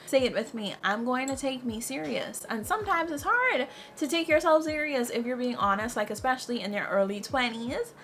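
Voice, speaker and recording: Bright young woman giving pep talks. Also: high-pitched, moderate pace, few pauses, slight background noise. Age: 20s. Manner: lively, preachy and encouraging, repeating key phrases, addressing viewers as "girl". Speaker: lifestyle vlogger. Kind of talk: casual motivational advice talk.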